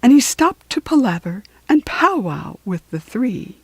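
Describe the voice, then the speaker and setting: A woman reading children's stories and verse aloud as a storyteller, in an expressive, deliberate manner. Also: a little high, husky, no echo.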